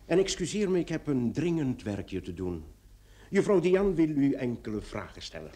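Actor as male police commissioner, authoritative, dark warm tone, animated pitch, clipped delivery, radio play. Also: moderate pace, lightly acted. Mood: polite.

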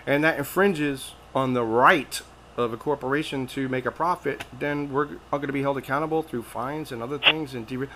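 A man's voice: mid-range voice, moderate pace, very noisy. An American man speaking insistently as an activist caller making a political phone complaint, with some hesitant phrasing.

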